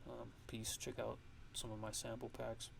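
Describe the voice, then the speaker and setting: A man giving a casual music production tutorial in a halting, laid-back, ASMR-style way, talking offhand. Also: mid-range voice, monotone, gravelly, noisy background.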